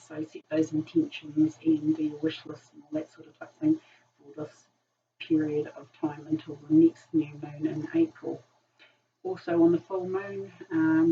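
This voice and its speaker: A woman in her sixties, croaky from a virus, giving a rambling casual tarot reading to camera with many ums. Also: deep voice. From New Zealand. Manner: rambling, many ums and "sort of type thing". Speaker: tarot reader. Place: small room, indoors. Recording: dull distant camera mic with faint hiss.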